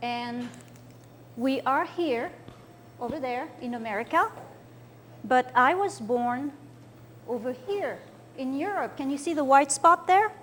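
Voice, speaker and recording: A woman in her sixties from France, a children's author gently explaining a slideshow of pictures to young listeners. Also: high-pitched, expressive, takes it slowly, pauses often, slight background noise.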